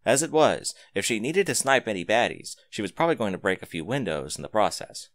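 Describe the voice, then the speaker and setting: A man's voice: fairly low pitch, big pitch swings, brisk, no echo. A man reading scripted fiction aloud as a dramatic narrator, close to the microphone.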